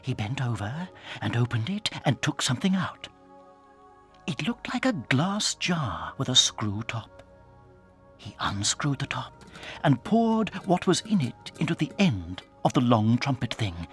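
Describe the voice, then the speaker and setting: A man with a British accent narrating a dramatized children's story in a suspenseful, theatrical way that can drop toward a hush. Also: medium pitch, big pitch swings, a little slow, soft background music. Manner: dramatic, suspenseful, hushing to near whispers.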